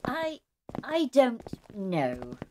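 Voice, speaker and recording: A woman playing a friendly, cautious young college student in an audio drama, gentle and sympathetic. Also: a little high, huge pitch swings, extremely slow, full character voice. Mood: concerned. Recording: noisy recording with clicks.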